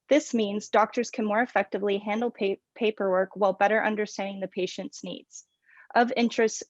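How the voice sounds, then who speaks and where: A woman's voice: mid-range voice, dry recording. A woman giving scripted explanatory answers as a panelist on a health education program. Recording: dry, dull lo-fi sound.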